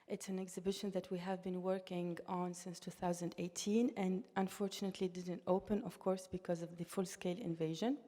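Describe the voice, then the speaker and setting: A woman raised in Tunisia, with Russian as her first language, speaks earnestly on a discussion panel, often breaking off and restarting her words. Her voice is mellow and warm. It carries a long reverb from a lecture hall. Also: lowish voice, flat delivery, fairly quick, hardly any pauses. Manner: earnest, thoughtful, with frequent restarts.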